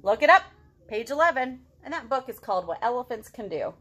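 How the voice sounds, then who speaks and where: High, animated woman leading storytime. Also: a little fast. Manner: asks questions, speaks simply to children. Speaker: children's librarian storyteller. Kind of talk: interactive picture-book storytime for children. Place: living room at home.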